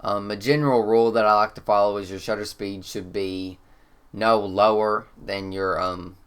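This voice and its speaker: A man with a Kentucky accent, congested and slightly hoarse, explaining one of his photos in a relaxed, rambling way with lots of "um.". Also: on the low side, normal speed. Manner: relaxed, rambling, frequent "um" fillers. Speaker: photographer and channel host. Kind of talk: casual talk-to-camera explainer. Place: indoors.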